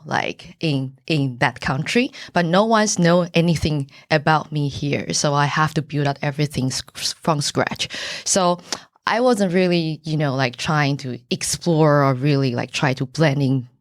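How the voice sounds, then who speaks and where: A middle-aged woman from Taiwan whose first language is Mandarin, with a Taiwanese accent, answering podcast questions earnestly and pausing to search for words. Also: low voice, no room sound, clean studio sound. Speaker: buyer's agent, podcast guest. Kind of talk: casual interview answers.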